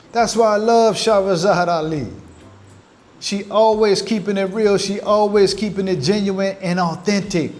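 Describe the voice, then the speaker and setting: An earnest man speaks to his viewers as family in a livestream, with a high voice. He takes his time and weighs each phrase. Soft music plays quietly behind him. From Caribbean. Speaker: channel host.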